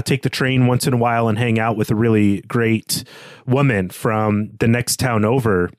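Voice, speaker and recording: A man's voice: mid-range voice, no echo. Mid-voiced man musing, dry sound. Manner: speculative, reasoning step by step. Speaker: podcast co-host. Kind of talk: conversational literary discussion podcast.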